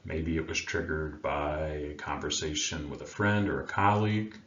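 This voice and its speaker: A man leading a guided meditation as a coach, speaking in a gentle, soothing manner with long unhurried pauses. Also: extremely low pitch. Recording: roomy, dull sound with faint background noise.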